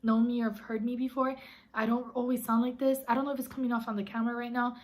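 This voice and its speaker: A woman speaking to her viewers in a casual vlog, her voice a little raspy and hoarse from recent illness. She sits fairly high in pitch and keeps an earnest, encouraging tone, calling her audience "guys.". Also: level tone, fast, darkish tone. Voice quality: slightly raspy. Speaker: vlogger.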